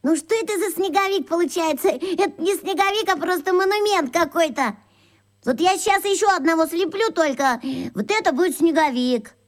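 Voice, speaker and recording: A woman's voice: extremely high pitch, expressive, moderate pace, dry recording, clean studio sound. An actress playing a self-important, imaginative young boy detective in a children's radio play, muttering earnestly like a spy-catcher. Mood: breezy pretended innocence.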